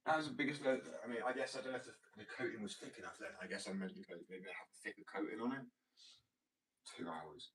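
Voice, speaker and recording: British male streamer, lowish voice, rambling, self-interrupting, heard from a distance. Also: fast talker, a bit bright. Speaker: livestreamer reading chat. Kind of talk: casual stream chat while working.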